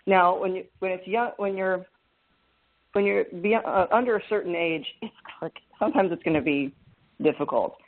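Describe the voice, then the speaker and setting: A man with a fairly high voice for a man, speaking as a guest hypnotherapist in a remote interview and explaining things in a measured, thoughtful way. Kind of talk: interview over a remote call. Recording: phone-like call line with static.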